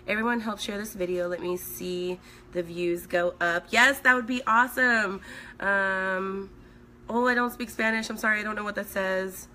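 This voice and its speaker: A woman in her thirties from Sweden talks casually into a phone held at arm's length in a small room at home, a makeup artist chatting with her viewers. Her voice is bright but hoarse, as if it is still coming back. A faint hiss sits under the recording. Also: medium pitch, normal speed. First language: Swedish. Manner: chatty, reads viewer comments aloud while working. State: hoarse, voice recovering. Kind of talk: live makeup stream chat.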